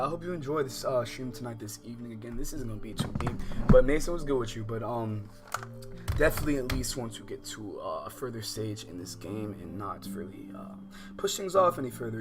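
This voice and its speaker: An American man doing casual, slangy livestream commentary on a game, rambling and often interrupting himself. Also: medium pitch. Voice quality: informal slangy speech. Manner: rambling, halting, self-interrupting. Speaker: livestream host. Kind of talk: casual gaming livestream commentary, reading visual novel aloud.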